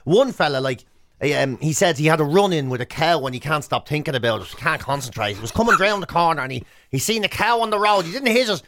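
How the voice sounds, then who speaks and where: A man's voice: on the high side, fast. A man with an Irish accent chatting casually as a radio host, telling comic anecdotes and acting out both sides of the dialogue. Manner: animated storyteller, acting out dialogue. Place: radio studio.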